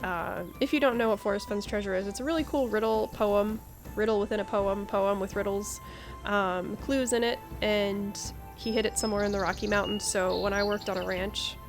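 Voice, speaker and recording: A woman hosting a casual game playthrough. She is chatty and reacts out loud in a medium-pitched voice. Faint music sits behind her commentary. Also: steady tone, normal speed. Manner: chatty, reacts aloud with interjections. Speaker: gaming video host.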